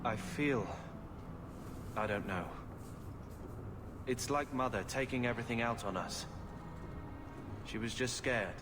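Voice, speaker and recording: A resentful young man acted by a man in a video game, the brooding younger brother. His mid-pitched voice carries bitterness and sarcasm, with music clearly playing underneath. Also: a little slow, pauses often, lightly acted. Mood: subdued, conflicted.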